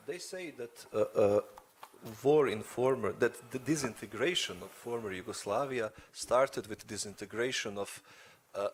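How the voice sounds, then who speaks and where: A man answering as a panel guest, stammering with many filler sounds. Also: fairly low pitch.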